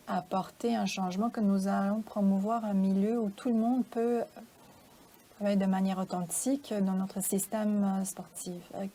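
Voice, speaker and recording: A woman speaking in a webinar panel discussion, slow and hesitant with many pauses and fillers. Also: medium pitch, mellow, slight background noise. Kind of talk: slow, halting spoken discussion.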